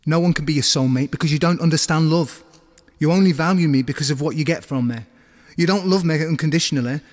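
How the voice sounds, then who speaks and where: A man's voice: fairly high pitch, fast, long reverb tail, close to an everyday voice. A man acting an insecure, accusing boyfriend in an audio drama, arguing in an anguished, reproachful way. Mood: bitter, accusing. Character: insecure, accusing boyfriend demanding unconditional love. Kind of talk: naturalistic audio drama dialogue.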